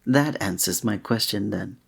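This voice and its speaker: Man playing a soft, breathy, gallant fantasy innkeeper. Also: on the low side, measured pace, heavily acted. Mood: polite, attentive. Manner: formal, old-fashioned, gallant, gentle phrasing. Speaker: ASMR roleplay performer. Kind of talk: scripted romantic ASMR roleplay.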